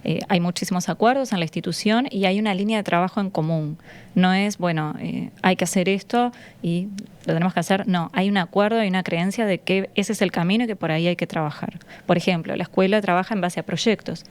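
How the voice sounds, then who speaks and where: A woman with a Uruguayan accent speaks as a teacher being interviewed in a studio. Her voice is a little low, and she explains in a conversational, halting way, searching for words. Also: clean tone. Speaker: schoolteacher, interview guest. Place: radio studio. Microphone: studio microphone.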